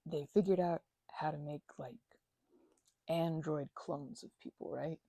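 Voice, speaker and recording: A woman playing a chatty, supportive, slightly weary housemate in an intimate ASMR roleplay, rambling and hesitant in a soft, playful, conversational way. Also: sits low, fairly even intonation, a little slow, no echo. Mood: animated, musing. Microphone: close microphone, dry.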